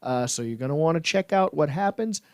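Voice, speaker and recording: A man's voice: on the high side, lively intonation, brisk, a little mellow, clear, resonant tone, dry recording, clean studio sound. A man hosting a sports podcast, joking around playfully with lots of "uh" fillers. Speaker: podcast host. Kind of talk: casual sports podcast chat.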